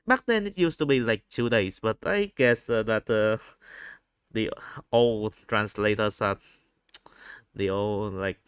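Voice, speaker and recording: A man with a Vietnamese accent recording a spontaneous, unscripted audio log, speaking haltingly and often rephrasing himself. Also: fairly low pitch, smooth, no room sound, studio quality. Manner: halting, repeats and rephrases phrases.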